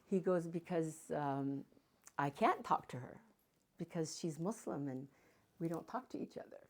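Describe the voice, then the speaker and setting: A woman's voice: deep voice, expressive, measured pace. An older woman from Canada, a guest speaker and matchmaker, talking casually to a live audience and telling stories with playful asides. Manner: chatty storytelling with playful asides.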